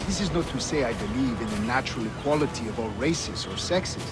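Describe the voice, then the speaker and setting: Man playing a formal old Spanish governor, expressive. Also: medium pitch, lightly acted. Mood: stern, reasoning. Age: older. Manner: formal, measured, dry. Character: stern, dignified old Spanish governor, principled and dry. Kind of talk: video game cutscene acting. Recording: loud music behind the voice.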